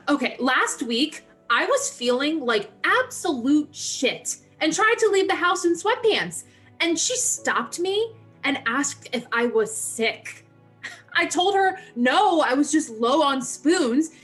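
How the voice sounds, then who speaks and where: A woman acting an anxious, defensive young sorority member in a dramatic reading, in an agitated, halting way. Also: high-pitched, sing-song, fairly bright tone, faint music behind, full character voice. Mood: exasperated. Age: young adult.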